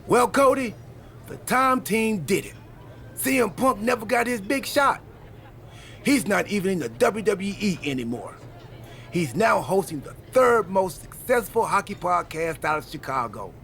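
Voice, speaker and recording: A man's voice: high-pitched, very animated, unhurried, vocal fry, a bit bright, slight background noise, heavily acted. A man playing a chatty, quirky, earnest friend in video game cutscene dialogue. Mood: upbeat, rambling. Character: chatty, quirky, earnest male friend and time-travel teammate.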